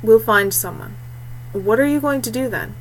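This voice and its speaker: A woman's voice: a little high, animated, normal speed, a little hiss or hum, low-quality recording. A woman voicing a responsible young male fort heir in an audiobook, in a conversational way. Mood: concerned.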